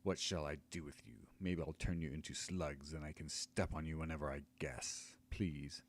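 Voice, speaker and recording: A cruel, gloating wizard as a man reading aloud puts him on, hissing and sneering at his captives. The voice sits low. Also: steady tone, moderate pace.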